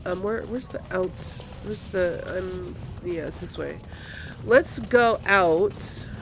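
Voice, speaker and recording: A woman's voice: on the low side, slow, noisy background. A woman giving casual let's play commentary, rambling and thinking aloud as she goes. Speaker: gamer, channel host.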